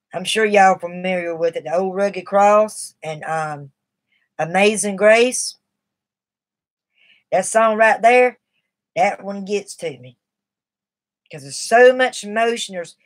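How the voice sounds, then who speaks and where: A middle-aged woman, talking earnestly and at length on a live stream while shaky from nicotine withdrawal. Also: mid-range voice. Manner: rambling, earnest and confiding. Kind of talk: casual live stream talk.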